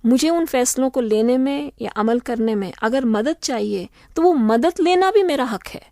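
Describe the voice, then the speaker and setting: Measured woman explaining law. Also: high voice, animated, mellow, dry recording, clean studio sound. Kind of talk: radio interview.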